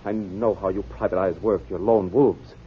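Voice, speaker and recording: An actor playing a suave, menacing wealthy killer in a clipped 1940s radio drama style. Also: male voice, low voice, steady tone, moderate pace, breathy edge. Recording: tinny 1940s radio recording.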